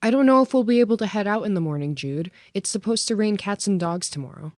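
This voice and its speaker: A man voicing a sharp-tongued woman woken in the night, scolding and swearing as she worries. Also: sits high, animated, quick pace, no room sound, studio quality, voice pushed up. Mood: wearily skeptical. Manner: sassy, scolding, swears freely. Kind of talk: audiobook character voice.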